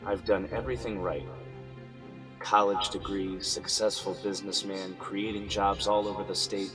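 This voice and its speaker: A man plays an indignant businessman jailed for a crime he denies. His voice sits low as he frets through an audio drama monologue, with music playing clearly behind him. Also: level tone, normal speed, natural voice. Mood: indignant, worried. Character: indignant, anxious businessman wrongly jailed for murder.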